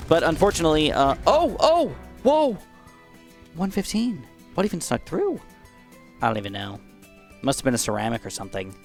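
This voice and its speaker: A young man giving casual, excitable gameplay commentary, his voice a bit dry and raspy, swinging from upbeat outbursts to mumbled asides. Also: a little high, normal speed, pauses often, soft background music. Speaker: gaming YouTuber.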